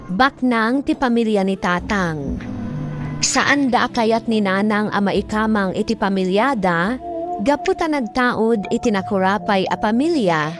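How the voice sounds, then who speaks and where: A woman playing a grieving grown child, narrating family history in measured, sorrowful Ilocano-accented radio drama style. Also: mid-range voice, close to an everyday voice. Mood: sorrowful narration. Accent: Filipino, Ilocano. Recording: music bed under the voice.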